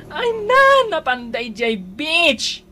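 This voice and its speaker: An Ilocano-accented woman played by an actress in a comedy radio drama, indignant and scornful about being owed. Her fairly high voice swings widely in pitch and breaks into exclamations. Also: very animated, takes it slowly, bright, forceful tone, a little hiss or hum, heavily acted. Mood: indignant, startled. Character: indignant female creditor out to expose her debtor.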